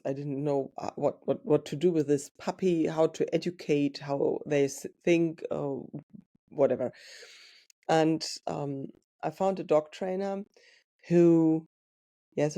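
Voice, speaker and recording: A woman with a German accent speaks slowly and thoughtfully in a podcast monologue. Her voice is mellow and dark with a gravelly fry. She pauses to search for words as she goes. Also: deep voice. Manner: slow, reflective, searching for words. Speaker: podcast host and dog trainer.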